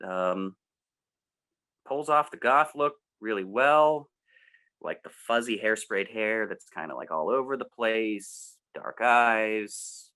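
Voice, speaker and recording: A man's voice: on the low side, expressive, slow, sharp tone, clean tone. An American man in his thirties chatting in an informal, rambling way as a co-host on a music show. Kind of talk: casual chat.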